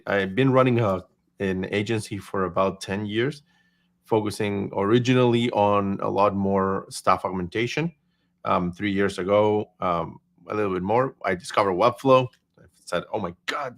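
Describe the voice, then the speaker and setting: Upbeat man from Argentina, low voice. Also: moderate pace, fairly bright tone. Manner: upbeat, talkative, self-deprecating humor. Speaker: agency CEO, guest. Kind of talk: casual livestream chat.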